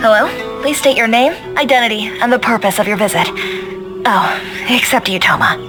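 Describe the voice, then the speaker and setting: A woman's voice: on the high side, lively intonation, moderate pace, over music, lightly acted, rough recording. A woman playing a politely firm, officious female clerk in a video game, formal and businesslike. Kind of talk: video game character acting.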